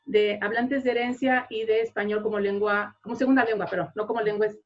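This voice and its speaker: A woman teacher shares her experience in a conversational, explanatory way at an academic discussion. Her voice sits at an average pitch for a woman. The audio is somewhat dull and lo-fi. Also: steady tone, a little fast, a little hiss or hum. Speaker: Spanish teacher. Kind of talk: conversational remarks in an academic panel.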